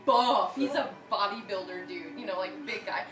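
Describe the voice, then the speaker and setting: A woman's voice: medium pitch, moderate pace, roomy sound, recorded from a distance, over a noisy crowd. A young woman from Michigan telling a personal story in a chatty, giggly way, with many fillers and bursts of laughter.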